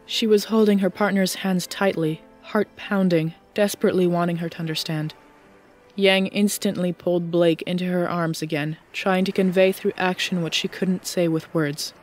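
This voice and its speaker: A woman's voice: lowish voice, steady tone, normal speed, soft background music. A woman reading scripted story narration as the narrator of an audiobook.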